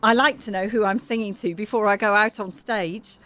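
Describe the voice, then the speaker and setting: A woman's voice: medium pitch, steady tone, moderate pace, fairly bright tone, lightly acted, low-quality recording. A woman playing a charming, bold French cabaret star in an audio drama, with a teasing, grandly gracious manner. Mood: gracious, commanding.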